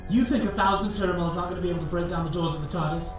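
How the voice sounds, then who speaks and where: An actor playing an earnest, defiant time-travelling hero in an audio drama, with an urgent, questioning delivery. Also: male voice, high voice, racing, faint music behind, natural voice.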